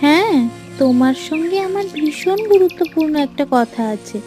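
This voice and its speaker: একজন মহিলা কার্টুন রূপকথার এক চালাক, খানিকটা কর্তৃত্বপরায়ণ পরীর চরিত্রে নাটকীয় ভঙ্গিতে কণ্ঠ দিচ্ছেন।. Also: female voice, high-pitched, expressive, moderate pace, warm tone, soft background music. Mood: feigned concern, stern. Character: clever female fairy, helpful schemer, teasing and bossy. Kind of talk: animated fairy tale voice acting.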